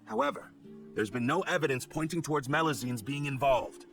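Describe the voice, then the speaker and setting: A man's voice: fairly high pitch, animated, a little fast, vocal fry, a bit bright, faint music behind. A man playing a blunt, protective patrol captain in a video game, with a stern manner.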